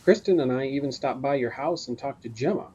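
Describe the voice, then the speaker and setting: A gentle, earnest man playing the concerned friend in a podcast drama an actor's role. His voice is mellow and husky, and the recording is a bit dull. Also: medium pitch, a little fast, natural voice. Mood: worried. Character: caring, earnest male friend and coworker. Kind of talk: audio drama acting.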